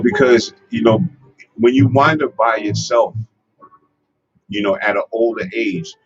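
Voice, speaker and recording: Rambling man with a strong voice. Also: mid-range voice. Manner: rambling, many fillers and restarts. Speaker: host. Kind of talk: casual advice livestream. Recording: lo-fi with music behind. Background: guitar music.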